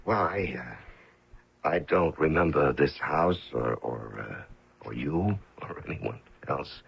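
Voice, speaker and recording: Deep, gravelly, halting American hitman. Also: full character voice. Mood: halting, earnest. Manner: hesitant, halting delivery. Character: professional hitman posing as a long-lost son. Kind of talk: 1970s-80s radio mystery drama acting. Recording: dull lo-fi old radio recording.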